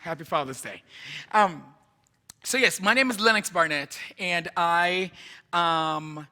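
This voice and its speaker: A man's voice: high-pitched, slow, bright, forceful tone. A man from Jamaica with a Jamaican accent, preaching as a guest in a church in a chatty, rambling, self-joking way while moving around. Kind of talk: informal sermon. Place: church sanctuary.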